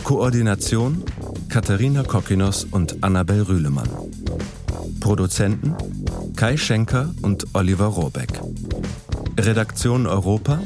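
A man reading out the closing credits of a podcast in an even, matter-of-fact way. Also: on the low side. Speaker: announcer.

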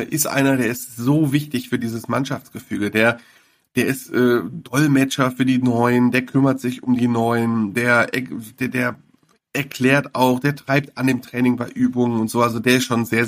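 A man, a sports reporter, talking casually in a podcast interview, with many fillers and restarts. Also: mid-range voice.

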